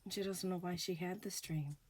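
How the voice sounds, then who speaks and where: A woman hosting a ghost story show, telling a personal haunting story slowly with hushed pauses, her voice a little off from a recent illness. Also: lowish voice, fairly even intonation. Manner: slow, hushed, dramatic pauses. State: recovering from illness, voice sounds off. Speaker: storyteller host. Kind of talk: spoken ghost story narration.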